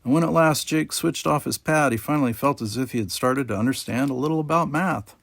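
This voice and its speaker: A man narrates a story as an amateur audiobook reader. His voice sits at a middle pitch. The recording is dry, with little trace of a room. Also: a little fast, gravelly, fairly bright tone, dry recording.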